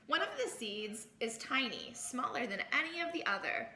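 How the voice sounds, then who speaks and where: Animated, bright-voiced woman reading aloud. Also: high-pitched, normal speed, slight background noise. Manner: animated, exclaims and comments on the story. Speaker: teacher reading aloud to children. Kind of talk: expressive storybook read-aloud with asides. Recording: echoey room.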